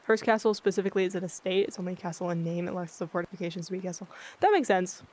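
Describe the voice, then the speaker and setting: A livestreamer chatting casually with viewers in a monotone, blunt-sounding way, often losing their train of thought. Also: medium pitch, rapid-fire. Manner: rambling, loses track of thoughts. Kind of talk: casual chat with live viewers. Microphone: filtered streaming mic.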